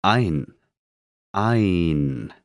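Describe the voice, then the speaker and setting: Very slow, deep male pronunciation voice. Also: expressive, dry recording, studio quality. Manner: says each word twice, slow and deliberate. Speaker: German pronunciation speaker.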